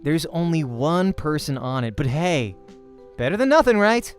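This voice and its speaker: A man voicing a cocky, jokey young male pro gamer in a visual novel, with a breezy, teasing, animated delivery. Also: a little high, moderate pace, soft background music. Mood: upbeat.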